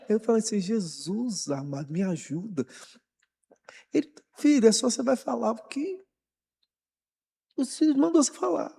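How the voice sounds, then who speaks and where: A man's voice: extremely high pitch, wildly swooping pitch, measured pace, creaky, long pauses, a little mellow, slightly rough. A man with a Brazilian Portuguese accent, a pastor leading a Bible study, talking informally and animatedly, repeating words as he encourages the church. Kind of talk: sermon, informal. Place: church hall. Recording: long church reverb.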